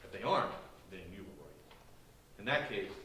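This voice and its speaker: A man's voice: fairly low pitch, very animated, moderate pace, sharp tone, slight background noise. A man playing a stern, worried father in a staged play reading. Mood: stern, suspicious. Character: stern worried father checking on his children. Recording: very echoey, distant stage recording.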